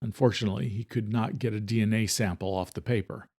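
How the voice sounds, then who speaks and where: A man reading scripted thriller narration in a measured, deliberate, dramatic storytelling style. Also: fairly low pitch, fairly even intonation, a little mellow, husky. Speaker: author and audiobook narrator.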